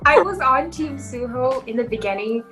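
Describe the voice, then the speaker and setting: A woman's voice: high-pitched, lively intonation, moderate pace, over music. A young woman co-hosting a podcast in a casual, informal and tentative conversational way. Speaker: podcast co-host.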